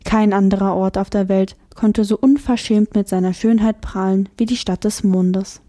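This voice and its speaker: A woman reading a children's novel aloud as the narrator, expressive and lively. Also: mid-range voice, moderate pace. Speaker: audiobook narrator. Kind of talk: scripted storybook narration.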